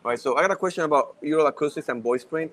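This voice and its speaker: Puerto rican guy hosting, playful, jokey, a bit high voice. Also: very fast, fairly bright tone. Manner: playful, joking, laughs often. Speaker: podcast co-host. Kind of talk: casual chat at a live event.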